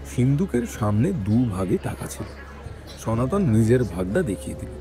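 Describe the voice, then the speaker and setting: A man's voice: mid-range voice, sing-song, normal speed, over music. A man narrating a scripted dramatic story as the narrator of an audio production.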